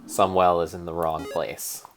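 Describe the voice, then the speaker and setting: A man improvising a mock-dramatic narration of a game in a deadpan storytelling manner, often stopping to correct himself. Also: sits low. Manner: deadpan storyteller, halting restarts and self-corrections.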